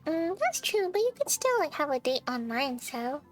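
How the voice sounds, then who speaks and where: A woman's voice: sits high, big pitch swings, normal speed. A young woman casually chatting on a live stream as she plays a game, talking to viewers in a playful, chatty way. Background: game music.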